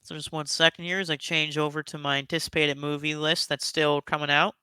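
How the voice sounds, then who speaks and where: A man's voice: on the high side, level tone, brisk, sharp tone, clean tone, no echo. A man hosting a casual, enthusiastic countdown commentary, speaking in an emphatic, effusive and rambling way. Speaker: YouTube host giving a top ten countdown.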